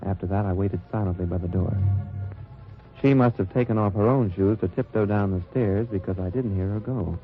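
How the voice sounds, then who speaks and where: Undercover agent, wartime radio drama male actor: low, measured, wry narration, muffled vintage sound. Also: close to an everyday voice. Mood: suspenseful, watchful. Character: cool, watchful undercover agent narrating his wartime mission. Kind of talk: 1940s-50s radio drama acting, first-person narration. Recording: muffled old-time radio recording with music.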